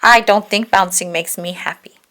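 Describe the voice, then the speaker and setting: A woman's voice: mid-range voice, steady tone, moderate pace, sharp tone, lightly acted. A woman voicing a gloomy, resigned male donkey in a children's storybook, speaking glumly and plainly.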